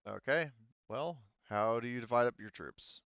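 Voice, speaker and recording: A man running a tabletop roleplaying game as game master in casual talk, thinking aloud with many pauses. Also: mid-range voice, a bit bright, clear, resonant tone, dry recording, lo-fi sound.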